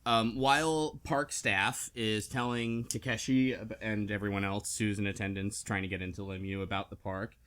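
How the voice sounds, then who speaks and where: A man's voice: on the low side, steady tone, normal speed, hardly any pauses, a bit bright, smooth. Man chatting casually, thinking aloud. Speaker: book club podcast host. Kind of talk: casual group discussion.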